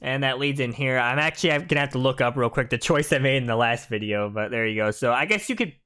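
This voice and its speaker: A man's voice: mid-range voice, fast talker, sharp tone, smooth. A man giving casual, chatty, explanatory commentary over a video game walkthrough.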